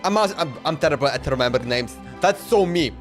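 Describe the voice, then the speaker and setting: A casual Slovenian-accented man talking with his viewers during a live game stream, his voice sitting a little high for a man. Game music hums faintly under his chatter. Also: expressive, fast talker. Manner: casual, chatty, talks to chat while playing. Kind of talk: live gameplay commentary.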